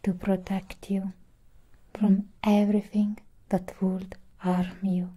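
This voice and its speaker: A young woman playing a devoted, protective female android doll in a soft ASMR roleplay, often whispering, with measured, intimate, formal phrasing. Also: mid-range voice, level tone, natural voice. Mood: tender, protective. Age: young adult.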